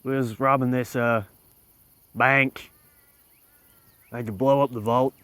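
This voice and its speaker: A man playing a callous Old West outlaw who tells stories in a rambling, deadpan way, his voice dry. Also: medium pitch, a little slow, dry recording, natural voice. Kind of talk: roleplay in a western game.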